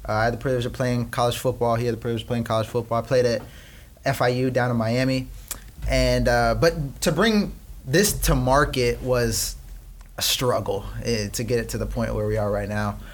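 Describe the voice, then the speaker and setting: A young man from Peru, a company co-founder, chatting as an interview guest and telling family stories with lots of fillers. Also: mid-range voice. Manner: chatty storyteller, quick bursts with frequent fillers. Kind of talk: casual interview chat.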